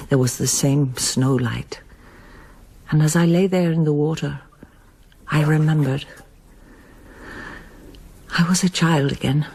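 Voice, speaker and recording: An older woman in a radio drama, reserved and wistful. Her voice sits low and has a husky grain. She speaks hesitantly and with understatement, as a one-time performer who keeps much back. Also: a little mellow, full character voice. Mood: wistful, remembering. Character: reserved older woman, former pianist and child refugee, wistful. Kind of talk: radio drama acting, monologue and dialogue.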